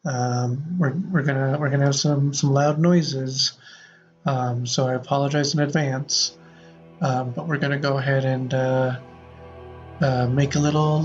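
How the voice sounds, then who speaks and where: A man hosting a podcast in a casual, playful way, with rambling, halting delivery. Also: mid-range voice. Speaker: podcast host. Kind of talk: casual chat with playful improvised sci-fi bits. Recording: slightly muffled audio with prominent music.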